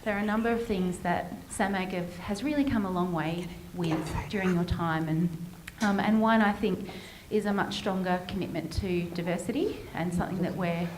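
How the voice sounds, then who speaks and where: A woman's voice: lowish voice, darkish tone, slight background noise. Woman giving a thank-you speech in an echoey room. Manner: halting, many ums. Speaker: committee member presenting a farewell. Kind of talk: speech at an event. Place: event room.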